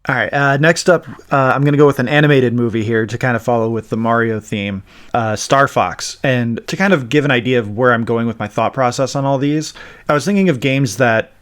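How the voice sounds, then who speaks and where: A man's voice: medium pitch, fairly quick, a bit bright. A man hosting a podcast, talking casually and explaining his ideas about pop culture in a conversational way. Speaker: podcast host.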